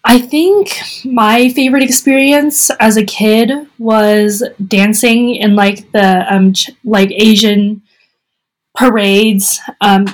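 A woman's voice: mid-range voice, steady tone, slow pace, poor audio. Hesitant teen girl guest. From USA. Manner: hesitant, many ums and likes. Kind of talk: casual podcast chat.

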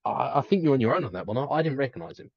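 A man's voice: medium pitch, animated, racing, vocal fry, dry recording, muffled recording. A man co-hosting a podcast, talking casually in a rambling, hesitant way. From Wales heritage, family on the south coast. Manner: rambling, hesitant, lots of fillers and restarts. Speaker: podcast co-host. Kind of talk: casual chat.

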